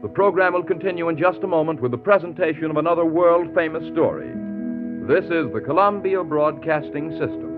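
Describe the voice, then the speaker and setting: A man's voice: fairly high pitch, a little fast. A man working as a network announcer, formal and declarative in 1930s radio style. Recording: tinny, narrow 1930s radio recording. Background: prominent music.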